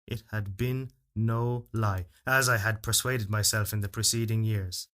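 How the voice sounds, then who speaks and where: A man reading a literary fairytale as narrator, in a solemn, measured and expressive storytelling delivery. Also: fairly low pitch. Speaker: story reader. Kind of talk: dramatic literary narration of a first-person fairytale.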